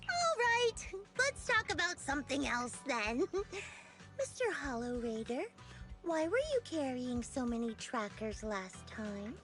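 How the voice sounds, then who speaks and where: High, unhurried, teasing female operative. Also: sing-song, music in the background, full character voice. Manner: teasing, unhurried, self-assured. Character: confident, teasing, glory-hungry female military operative. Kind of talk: video game story voice acting.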